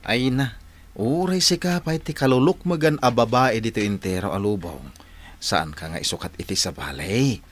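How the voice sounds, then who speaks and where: A man's voice: mid-range voice, moderate pace, lightly acted. A man acting in an Ilocano radio drama as an idle husband who coaxes and pleads playfully. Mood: wheedling. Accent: Ilocano, northern Philippines. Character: idle, wheedling husband sweet-talking his hardworking wife.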